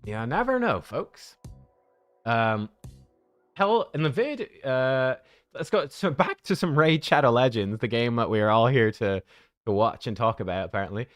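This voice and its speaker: A man's voice: medium pitch, animated, moderate pace, no echo, clean studio sound, soft background music. A man in his thirties chatting casually with viewers on a game livestream, rambling and joking at his own expense. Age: 30s.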